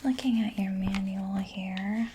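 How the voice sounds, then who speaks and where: A woman speaking in a breathy, mostly whispered voice, gentle, unhurried and reassuring, in a soft-spoken ASMR roleplay as a caring technician. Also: mid-range voice, steady tone, background noise.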